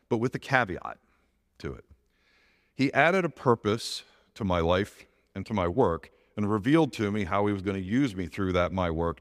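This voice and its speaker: A man's voice: deep voice, long reverb tail. A middle-aged man with a big voice, giving an earnest personal testimony as a church elder, often restarting his sentences.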